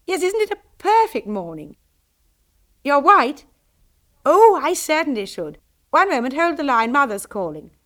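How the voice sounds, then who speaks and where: A woman voicing an excitable young woman chattering brightly on the telephone. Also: high voice, a little slow, pauses often, pitched above the performer's own voice, exaggerated voice. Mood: gushing.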